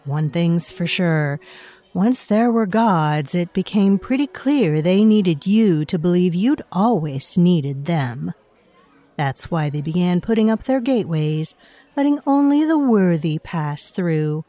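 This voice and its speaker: A woman playing an ancient, otherworldly female storyteller in a dark fantasy audio drama, knowing and faintly scornful, confiding in the listener with deliberate delivery. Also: fairly low pitch, animated, dry recording. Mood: bitter, knowing. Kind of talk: scripted dark fantasy audio fiction narration.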